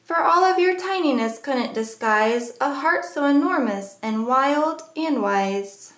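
A woman with a high-pitched voice reads a children's picture book aloud in a roomy, echoing space. She phrases the rhymes in a measured, deliberate way, as a storyteller does for young listeners. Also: lively intonation, a bit bright.

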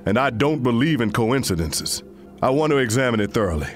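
Earnest troubled sci-fi doctor guy, done by an actor, mid-pitched, music behind him. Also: big pitch swings, a little fast, natural voice. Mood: earnest, insistent. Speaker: colony doctor. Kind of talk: animated sci-fi drama acting.

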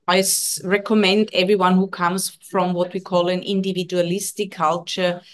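Earnest woman, non-native English speaker. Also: lowish voice. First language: not English. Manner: earnest, coaching tone, frequent "yeah?" tags. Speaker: leadership and communication trainer. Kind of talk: video call interview. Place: home office. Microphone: video call.

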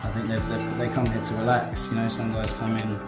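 A man's voice: fairly low pitch, brisk. A man who works as a barber, talking casually in an interview with loose hedges. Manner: casual, relaxed, with hedges like "kind of". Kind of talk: interview for a promotional video. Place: indoors in a barbershop. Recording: roomy sound with loud music behind.

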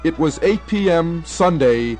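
A young man narrating a scripted radio documentary in a formal, measured announcer's manner. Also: a little high, lively intonation, a little mellow, soft background music. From United States. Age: young adult.

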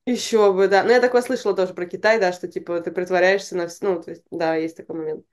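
Fast-talking woman on a video call. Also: lowish voice, steady tone, a little mellow. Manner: chatty, laughs often, quick and searching. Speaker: host. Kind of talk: casual interview over video call. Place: home room with guitar on wall.

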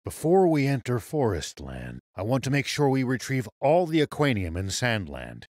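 A man playing a gruff, serious old sheriff and veteran soldier in a video game, speaking in a measured, weary way. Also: medium pitch, wildly swooping pitch, darkish tone, no echo, studio quality. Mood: serious, planning. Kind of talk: video game cutscene dubbing.

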